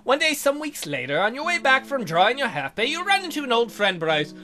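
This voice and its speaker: A man's voice: very high-pitched, sing-song, fairly quick, sharp tone. A man hosting a let's play, reading a text adventure aloud with goofy, crude asides.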